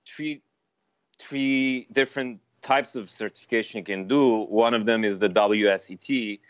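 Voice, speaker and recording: A man whose first language is Armenian, a sommelier chatting in an interview, halting with many fillers and restarts. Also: lowish voice. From Lebanon. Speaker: sommelier guest. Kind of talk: casual interview chat. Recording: dull, dry lo-fi sound.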